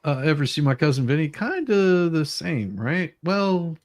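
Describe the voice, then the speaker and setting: A man's voice: fairly high pitch, big pitch swings, unhurried, no room sound, clean studio sound. A man hosting a livestream with casual chat and commentary, repeating phrases and trailing off mid-sentence as he rambles. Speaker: livestream host.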